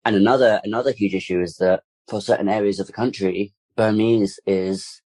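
A man's voice: lowish voice, steady tone, fairly quick, a little mellow, husky, no room sound. A man speaking as a guest campaigner in a casual interview, rambling with lots of "like" and "kind of". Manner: rambling, full of fillers and restarts.

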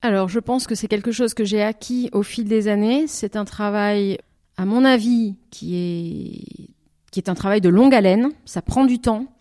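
A French-speaking woman talks about her singing in an interview. Her voice sits at a middling pitch. She speaks reflectively, pausing often and rephrasing as she looks for the right words. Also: dark tone. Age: over twenty. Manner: thoughtful, often restarts and rephrases. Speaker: singer, interview guest.

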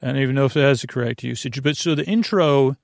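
Male bedtime podcast host: creaky fry, mid pitch, gentle halting rambles, dry close sound. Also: no echo. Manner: meandering, tangential, halting; soothing, gentle.

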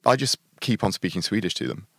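A man's voice: on the low side, lively intonation, fast talker, husky, dry recording. A man in his forties on a podcast panel, speaking earnestly and stumbling over his words. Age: 40s. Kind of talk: casual podcast chat.

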